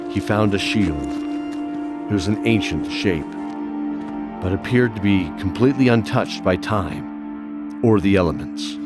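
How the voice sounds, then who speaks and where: Deep-voiced man narrating fantasy. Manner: measured, descriptive. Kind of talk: scripted fantasy narration, storytelling. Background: faint music.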